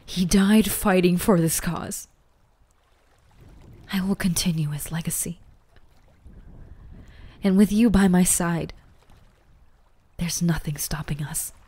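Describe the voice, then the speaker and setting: A woman playing a gentle, grieving but resolute young ghoul girl in a roleplay audio drama, speaking softly and earnestly with pauses and whispers. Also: on the low side, breathy edge. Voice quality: soft, often breaking into whispers. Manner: slow, emotional, earnest, with pauses.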